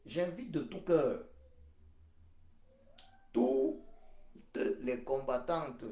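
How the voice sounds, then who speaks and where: Man, activist addressing supporters, slow deliberate delivery, hesitant fillers, echoey room. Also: mid-range voice, expressive, dark tone. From Cameroon. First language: Ghomala' (Baham). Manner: emphatic, deliberate, repeats phrases with frequent hesitations. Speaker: activist leader. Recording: roomy echo.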